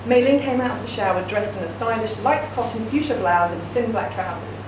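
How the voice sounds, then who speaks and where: A woman's voice: mid-range voice, brisk. A woman reading a short story aloud at a live reading event, narrating steadily. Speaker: reader. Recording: echoey room, distant mic, noisy.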